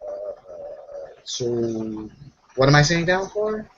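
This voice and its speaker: A man's voice: medium pitch, big pitch swings, slow pace, muffled recording. A man chatting and narrating casually as a player in a tabletop roleplay.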